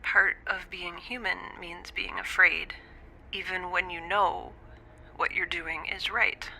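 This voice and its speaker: A woman playing a stranded young scientist in an audio drama, giving wry, anxious, self-deprecating log entries in a halting, confessional way. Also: fairly low pitch, fairly even intonation, bright, forceful tone, slight background noise, heavily acted, rough recording. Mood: guilty, subdued. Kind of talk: audio drama monologue, recorded log entry.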